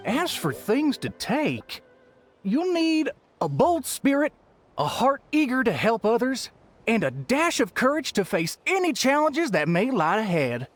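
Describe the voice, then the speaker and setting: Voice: mid-range voice, sing-song, measured pace, soft background music. A performer voicing a cheery, theatrical event host welcoming adventurers in a game cutscene.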